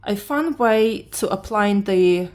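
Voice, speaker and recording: A woman from Latvia whose first language is Russian, speaking with a Russian accent and just woken up. She talks her way through a drawing lesson in a halting manner, restarting often and reading the instructions aloud. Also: mid-range voice, expressive, darkish tone. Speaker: beginner art vlogger. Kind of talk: casual drawing tutorial.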